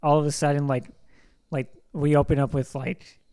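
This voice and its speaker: A man's voice: on the high side, little pitch movement, normal speed, darkish tone, smooth, no room sound. A man co-hosting a casual movie podcast, enthusiastic and rambling, with lots of filler words. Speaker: podcast co-host. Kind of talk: casual movie review chat.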